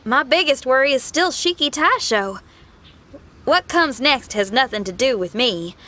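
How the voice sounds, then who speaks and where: A woman's voice: high voice, expressive, measured pace, pushed and bright, slight background noise, heavily acted. An actress playing a spirited, friendly young female street musician in a video game, earnest and folksy. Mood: concerned determined.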